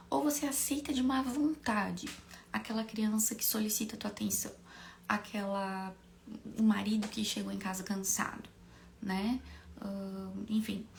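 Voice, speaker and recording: A Brazilian woman, slightly hoarse with a cold, teaching an informal online class in a conversational, explanatory way with frequent tag questions and rhetorical questions. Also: mid-range voice, darkish tone, slight background noise.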